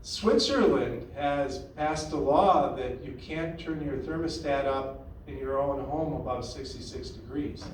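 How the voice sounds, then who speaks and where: A man's voice: mid-range voice, measured pace, slight background noise. A man hosting a town hall meeting, talking in a conversational, opinionated way with frequent restarts. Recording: echoey room, far from the mic.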